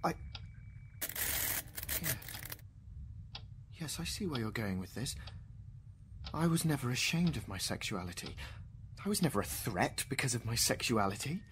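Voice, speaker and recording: An actor playing a dead wartime mathematician in a radio drama, a wry, wounded intellectual who swings from hushed to outburst. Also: male voice, medium pitch, sing-song, moderate pace, pauses often, background noise, lightly acted. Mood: bitter, defensive.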